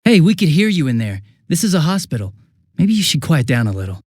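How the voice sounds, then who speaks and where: High-pitched, animated young police detective. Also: male voice, quick pace, husky, no echo, studio quality. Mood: polite, subdued. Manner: casual, hesitant, excitable. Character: young male police detective, flustered, affable, scatterbrained. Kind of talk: English video game voice acting.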